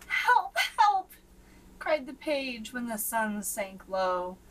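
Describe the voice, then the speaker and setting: A young adult woman reading a children's storybook aloud in a theatrical, expressive way. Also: fairly high pitch, slow, distant mic, low-quality recording.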